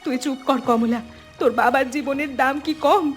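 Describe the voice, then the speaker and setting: A woman's voice: high voice, moderate pace, faint music behind, lightly acted. A woman playing an anxious, resentful village wife and mother in a cartoon, scolding and pleading. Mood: angry, alarmed. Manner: sharp-tongued, pleading. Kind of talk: cartoon dialogue.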